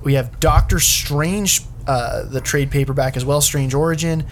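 Higher-pitched male podcast host, noisy recording. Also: moderate pace. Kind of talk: casual comic book discussion.

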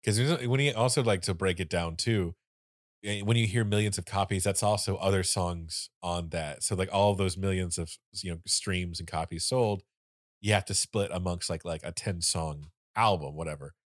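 Deep-voiced man in lively chat. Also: normal speed, no echo, studio quality. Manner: animated, sings snippets of songs, laughs often. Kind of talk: casual chat.

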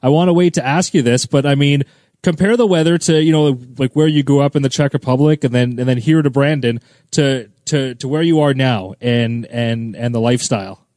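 A man's voice: mid-range voice, brisk, a little mellow, dry recording, clean studio sound. A man hosting a podcast, talking in a rambling, friendly way and often repeating words as he asks questions. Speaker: podcast host. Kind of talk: casual podcast chat.

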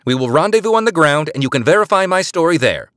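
A man narrator puts on a woman spy who bluffs over the comm with imperious authority. Her voice rides high and loud, swinging widely as she barks orders. The sound is dry and close. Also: sing-song, quick pace, no echo. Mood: commanding.

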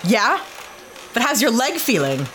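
A woman playing a young heroine on the run, playful and teasing, quick to laugh. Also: a little high, big pitch swings, a bit bright, a little hiss or hum. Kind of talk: audio drama acting.

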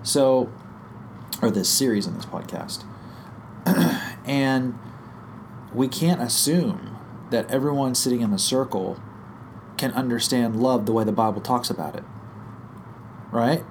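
A man with a hoarse, croaky voice from a head cold, explaining a teaching idea in a relaxed podcast chat, thinking aloud with fillers and restarts. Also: mid-range voice, unhurried, long pauses, a little hiss or hum. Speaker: guest teacher on small group ministry.

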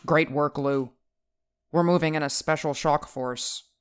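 A man's voice: fairly high pitch, steady tone, unhurried, clean tone, pitched above the performer's own voice, lightly acted. A man voicing a male military officer speaking over a transceiver in an audiobook, clipped and commanding. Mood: brisk. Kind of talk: audiobook character voice.

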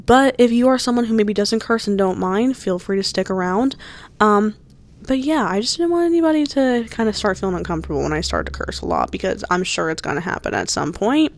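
A woman's voice: mid-range voice, expressive, fairly quick. A woman in her early twenties from New Jersey, hosting her own podcast in a rambling, chatty way full of fillers and restarts. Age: 20s. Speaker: podcast host. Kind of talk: casual solo podcast chat.

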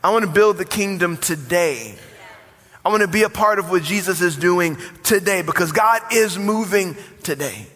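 A man's voice: high-pitched, normal speed, pushed and bright, soft background music. A man in his forties, a pastor preaching a sermon with rising emphasis and call-and-response. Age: 40s. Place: church sanctuary. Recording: long hall reverb.

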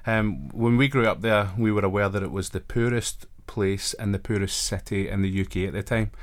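A man's voice: lowish voice, brisk. A man in his thirties with a Glaswegian accent, a college chaplain telling his life story as a guest in a radio studio, earnest with wry self-deprecating humor. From Scotland. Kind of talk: conversational interview storytelling.

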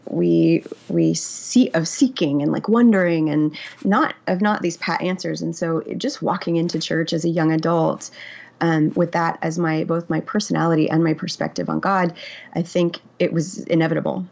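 A woman's voice: deep voice, fairly even intonation, normal speed, vocal fry, darkish tone. A woman in her forties, a memoir author, talking candidly in an interview, reflective and hesitant with many fillers and restarts. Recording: dull, lo-fi audio.

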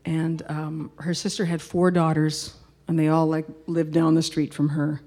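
A woman's voice: low-pitched, little pitch movement, moderate pace, warm tone. A middle-aged woman singer-songwriter introducing a song in casual, rambling, reflective stage talk.

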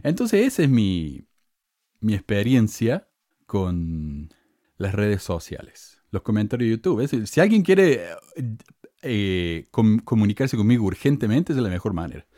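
A middle-aged man with a Cordoba Argentine accent speaks in a dry, close-miked sound. His pitch swings widely as he gets sarcastic and indignant, with sharp emphatic peaks. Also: sing-song, unhurried, no room sound. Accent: Cordoba Argentine Spanish. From Córdoba, Argentina. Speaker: channel host. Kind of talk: casual monologue to camera.

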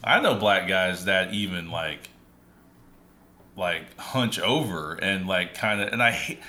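A man's voice: low-pitched, animated, pushed and bright. A man co-hosting a casual podcast conversation, talking thoughtfully with frequent fillers and restarts. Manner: thoughtful, halting, many fillers. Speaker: podcast co-host.

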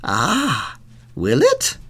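A simple-minded old village man put on by a male narrator talks in slow, wondering phrases. His voice swoops dramatically in pitch and sounds naive. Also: wildly swooping pitch, a bit bright, slightly rough, full character voice.

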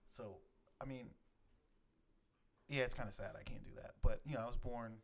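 A man from Jamaica who grew up in Queens, co-hosting a casual podcast, easygoing and halting. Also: medium pitch, creaky. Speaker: podcast co-host. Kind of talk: casual chat. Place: apartment in the Bronx. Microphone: handheld recorder mics.

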